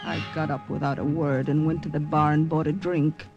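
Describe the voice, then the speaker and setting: Grave, bitter radio heroine. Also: female voice, sits low, flat delivery, moderate pace, dull audio, music in the background. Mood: somber, reflective. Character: bitter, proud young woman haunted by war. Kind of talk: old-time radio drama acting, first-person narration and dialogue. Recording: vintage radio drama recording.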